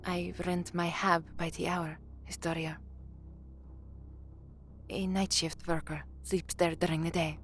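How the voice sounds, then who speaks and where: A woman's voice: lowish voice, little pitch movement, a little slow, long pauses, soft background music. Actress as an earnest young working-class slum woman. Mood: guarded. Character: anxious young working-class woman, trainee radio newsreader in a hive slum. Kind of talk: audio drama acting with first-person narration.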